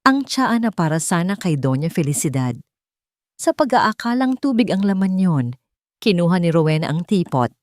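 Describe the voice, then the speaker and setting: A woman narrates a dramatic audio story in a lowish voice with very lively intonation. Her delivery is theatrical and suspenseful, captured in a dry, close recording. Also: moderate pace, no echo.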